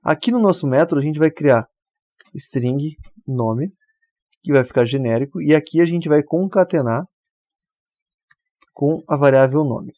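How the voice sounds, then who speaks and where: A man explains code in a relaxed, mellow voice. He walks through each step and keeps checking in with the listener. The sound is dry and close, with no room around it. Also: a little high, a little slow, long pauses, no echo. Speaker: programming tutor. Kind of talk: screen-recorded coding tutorial.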